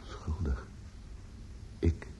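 An actor in a radio play playing a defensive, grieving older man, earnest and halting, often repeating words. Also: mellow. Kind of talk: Dutch radio play acting. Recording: dull, noisy old radio recording.

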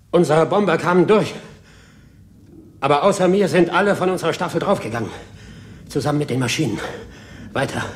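An actor playing a wounded, commanding military pilot in agony, in a theatrical radio drama style with military delivery. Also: male voice, fairly high pitch, close to an everyday voice. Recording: faint background noise.

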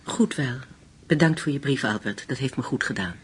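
An actress in a radio drama playing a gentle, grateful widow who speaks politely. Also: female voice, animated, normal speed. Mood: subdued, warm. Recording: faint noise and low rumble.

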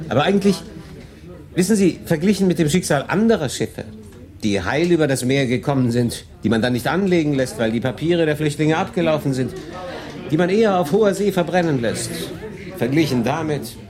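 An actor playing a world-weary German refugee in a wartime radio play, confiding and rambling to a silent listener. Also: male voice, fairly high pitch, animated, brisk, a little mellow, close to an everyday voice. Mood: eager, inviting. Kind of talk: radio play acting, conversational monologue. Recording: busy café murmur behind. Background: crowd in a café.

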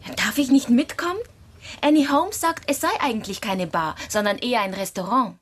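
Eine Schauspielerin spielt in einem Hörspiel ein höfliches, neugieriges, etwas naives jugendliches Mädchen, das sanft fragt. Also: female voice, a little high, fairly quick, lightly acted. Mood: curious, polite. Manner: polite, questioning, soft. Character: polite, curious, naive teenage girl. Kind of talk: German radio play acting.

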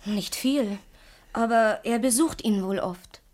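An actress playing a bitter, defiant young model who has been jilted, in a 1980s German radio crime drama. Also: female voice, fairly high pitch, slow pace, a little mellow. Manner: snippy, defensive.

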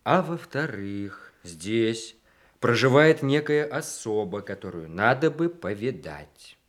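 An actor in a radio play, playing a wry, self-mocking army senior lieutenant who regrets lost love. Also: male voice, mid-range voice, expressive, takes it slowly. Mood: teasing.

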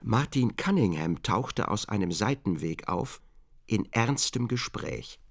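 A man narrating a German radio drama in a measured, observant literary style. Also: on the low side, lively intonation.

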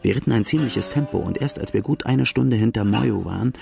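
An actor playing an outlaw who plainly recounts his hard youth in a German radio drama, with a gravelly voice. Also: fairly low pitch, brisk, over music. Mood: reflective. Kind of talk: first-person narration in German radio drama.

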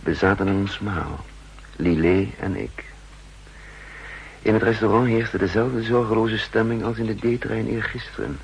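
An actor playing a brooding undercover double agent who narrates his story in measured, introspective Netherlands Dutch, in a 1960s radio drama. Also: fairly low pitch, creaky. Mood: uneasy, pensive. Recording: hissy 1960s radio recording.

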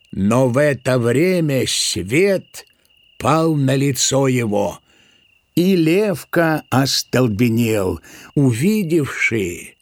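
A man narrating a literary audio drama in a measured, dramatic manner. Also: mid-range voice, no room sound.

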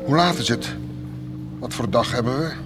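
A man's voice: mid-range voice, moderate pace, close to an everyday voice. Man acting a sleepy, surly villager. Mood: drowsy, surly. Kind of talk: Dutch radio drama acting. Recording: music behind the voice.

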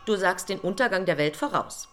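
A woman's voice: medium pitch, lively intonation, fairly quick, faint music behind, close to an everyday voice. A woman playing a small, teasing angel in a radio play, with an ironic, coolly taunting manner.

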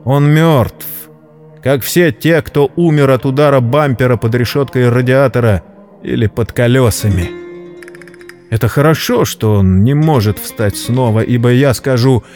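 A man narrating a scripted story dramatically, in a solemn, measured literary manner. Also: medium pitch, darkish tone. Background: background music.

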